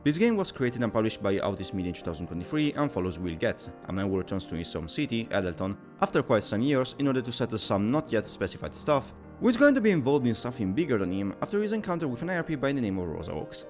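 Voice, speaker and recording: Male video reviewer, scripted narration, brisk and animated, soft music underneath. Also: medium pitch. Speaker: video game reviewer, channel host.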